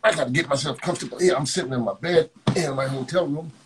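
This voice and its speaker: A man's voice: fairly high pitch, fast, background noise. A man hosting a live video chat from a hotel room, blunt and joking, often repeating words as he talks. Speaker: coach and live stream host. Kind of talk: casual live stream chat with callers.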